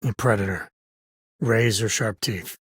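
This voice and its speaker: An actor playing a rugged frontier space-cowboy companion in a video game, a wry, protective father with a husky American voice and a folksy, earnest way of talking. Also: on the low side, unhurried, dry recording, studio quality. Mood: earnest, measured. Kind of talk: video game character voice acting.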